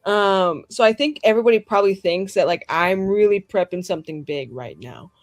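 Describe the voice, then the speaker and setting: A woman's voice: mid-range voice, expressive, a little fast, no room sound. Flippant, sweary woman telling a story. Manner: sweary, flippant, dramatic, slangy. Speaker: player in a tabletop roleplaying game. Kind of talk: casual in-character storytelling. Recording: faint music behind.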